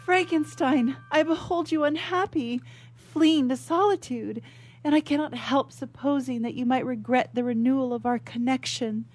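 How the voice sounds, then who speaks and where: A woman voicing a gentle, devoted young woman in love, speaking tender archaic lines in a radio melodrama. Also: high-pitched, normal speed, pitched above the performer's own voice. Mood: tender, worried. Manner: tender, formal archaic diction. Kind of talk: old melodramatic stage play as radio drama.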